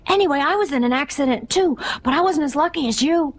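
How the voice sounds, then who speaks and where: A woman's voice: high-pitched, quick pace, close to an everyday voice. A woman voicing an eager, brave ten-year-old boy in an audio drama, wide-eyed and chirpy. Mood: plucky.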